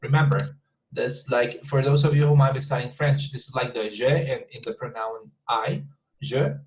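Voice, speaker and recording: A man's voice: medium pitch, moderate pace, low-quality recording. Colombian guy teaching english on a video call, keeps repeating himself, plain mid voice. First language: Spanish. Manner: repeats words often, talks to the class as "guys". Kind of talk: online class lecture. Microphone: computer mic on a video call.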